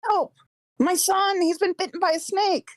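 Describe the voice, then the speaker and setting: High, panicked camping dad, acted by a woman. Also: fairly even intonation, moderate pace, dry recording, studio quality. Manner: urgent, crying out for help. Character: panicked father of a bitten boy camper.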